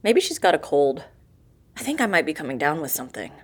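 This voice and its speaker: A woman playing a breezy, deadpan office assistant in an audio drama comedy, with an offhand, dry manner. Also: low voice, quick pace, breathy edge, exaggerated voice.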